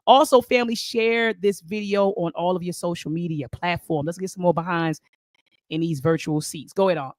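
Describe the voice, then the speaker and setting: A man hosting a live online talk show, speaking with a deep, raspy voice that is hoarse from a sore throat, in a folksy way that calls the viewers family. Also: fairly quick, dry recording. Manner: folksy, addresses the audience as family. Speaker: host. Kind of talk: casual live-stream chat.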